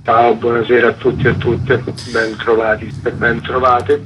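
A man's voice: mid-range voice, monotone, moderate pace. Discursive man over noticeable background noise. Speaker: radio programme editor being interviewed. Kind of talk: conversational interview answers, explaining at length.

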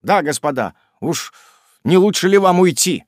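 A man's voice: fairly high pitch, lively intonation, moderate pace, no echo, studio quality, pitched above the performer's own voice. A man voicing an anxious young lodger, flustered and placating.

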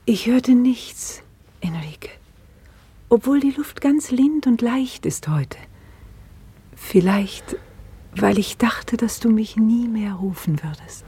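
An actress playing a gentle, wistful young woman in a radio play, with a breathy, whispery voice. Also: on the high side, lively intonation, slow, pauses often, heavily acted. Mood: wistful, hushed.

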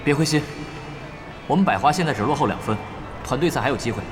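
An actor playing a proud, driven young esports captain in an audio drama, expressive and dryly sardonic. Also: male voice, medium pitch, fast talker, close to an everyday voice. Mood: rousing, determined. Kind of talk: Mandarin audio drama acting. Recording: dramatic music bed behind the voice. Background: prominent background music.

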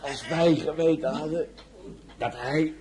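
An actor in a period radio drama playing a bitter, war-weary veteran soldier on guard duty. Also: male voice, on the high side, unhurried, a crowd in the background, close to an everyday voice. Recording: noisy radio drama recording.

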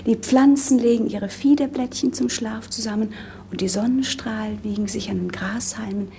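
An actress in a 1950s German radio drama playing a gentle, dreamy young princess, speaking softly and poetically. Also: female voice, a little high, steady tone, normal speed. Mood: wistful, hushed. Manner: soft, poetic, often breathy. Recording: old radio recording, faint noise.

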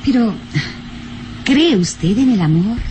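High, expressive romantic young lady. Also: slow pace. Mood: enraptured. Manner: sighing, coy, gushing. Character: romantic, independent-minded young lady, easily enraptured. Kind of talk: old radio serial acting. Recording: old radio recording, faint noise.

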